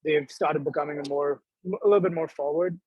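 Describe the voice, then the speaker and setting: A young man from Dubai, a startup co-founder, talking quickly and animatedly as the guest in a video-call interview. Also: fairly high pitch, breathy edge, no echo, dull audio. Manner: quick, animated, laughs between thoughts. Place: indoor room.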